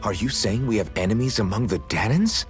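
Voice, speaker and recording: An actor playing an earnest, questioning young freedom fighter in a video game, unsure of himself. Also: male voice, on the low side, expressive, brisk, music in the background, lightly acted. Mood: puzzled, uncertain.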